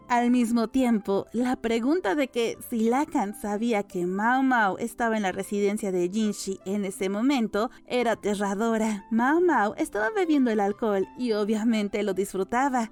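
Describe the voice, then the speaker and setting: A woman's voice: on the high side, darkish tone. A woman narrating a novel as a scripted audiobook.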